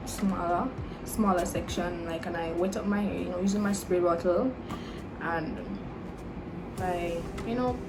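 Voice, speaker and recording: A woman's voice: on the low side, low-quality recording. A 21-year-old woman with a Caribbean English accent mixing Jamaican and Dominican, chatting casually as she answers viewer questions, with a lot of "like" and "you know". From Caribbean, Jamaican and Dominican roots. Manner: chatty and relaxed, lots of "like" and "you know" fillers. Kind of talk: casual Q&A vlog chat.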